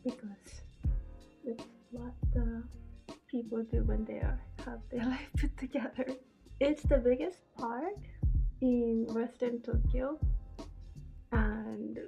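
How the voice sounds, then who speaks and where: A young woman vlogging casually at home, talking to camera with a hoarse morning voice and often dropping into soft whispers. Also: a little high, slow, pauses often, low-quality recording. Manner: sleepy, drifts into soft whispers. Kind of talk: casual vlog chat to camera. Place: small home apartment indoors. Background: background music.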